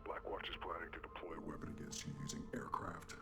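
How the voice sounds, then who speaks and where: An actor playing a secretive, urgent male informant in a game cutscene, speaking in a deep, gravelly, hushed voice. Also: steady tone, fast, over loud music, exaggerated voice.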